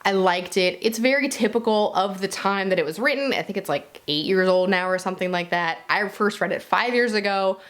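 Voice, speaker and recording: A woman's voice: medium pitch, a little fast, few pauses, a bit bright. Casual, chatty woman reviewing for viewers. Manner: chatty, informal, peppered with "like". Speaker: book reviewer and video host. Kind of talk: casual monthly reading wrap-up to viewers.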